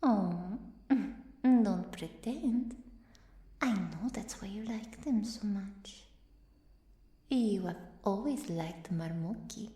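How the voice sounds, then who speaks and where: A woman playing an ancient, playful, sharp-tongued vampire with an Italian accent in a theatrical ASMR roleplay, dropping Italian words into English. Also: mid-range voice, extremely slow, long pauses, roomy sound. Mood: mocking, playful.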